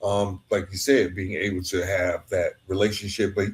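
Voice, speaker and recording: A man with a fairly low voice hosts a podcast conversation. He talks informally and reflectively, works in his own experiences and often checks that the listener is following. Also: flat delivery, moderate pace. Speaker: podcast host. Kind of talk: conversational interviewing with personal anecdotes.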